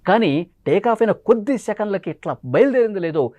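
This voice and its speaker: A man delivers dramatic news commentary. He talks quickly and leans hard on key phrases, often repeating them for emphasis. The sound is dry, with almost no room in it. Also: high-pitched, animated, dark tone, no room sound, dull audio. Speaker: news presenter.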